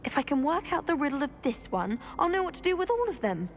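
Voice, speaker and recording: Bookish British schoolgirl witch, animated. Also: sits high, fast talker, soft background music. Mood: puzzled, determined. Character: bookish, slightly proud teenage witch student, keen on riddles. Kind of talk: scripted game cutscene acting.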